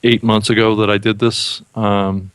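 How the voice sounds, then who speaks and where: A man with a low voice hosts a casual podcast and thinks aloud, often restarting and repeating words. The recording is dry and close, with no room echo. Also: level tone, measured pace, fairly bright tone, clear, resonant tone. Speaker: podcast host. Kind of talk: casual chat.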